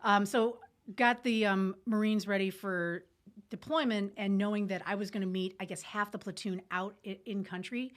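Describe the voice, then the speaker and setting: A woman's voice: medium pitch, fairly even intonation, moderate pace. A woman telling a story informally as a podcast guest, candid and colloquial, with military jargon.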